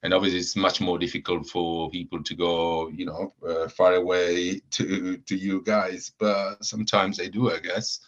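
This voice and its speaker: Low-voiced man with many fillers, lo-fi. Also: level tone, moderate pace, few pauses. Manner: hesitant, many fillers and repeated words. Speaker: supporters club founder, guest. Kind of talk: casual podcast chat. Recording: dull lo-fi audio.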